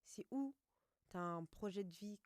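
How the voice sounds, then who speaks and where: A woman's voice: medium pitch, no room sound, clean studio sound. A woman in her thirties, a life coach, telling her story in a chatty, joking interview. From France. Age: 30s. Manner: chatty, joking, quick and animated. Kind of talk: casual conversational interview.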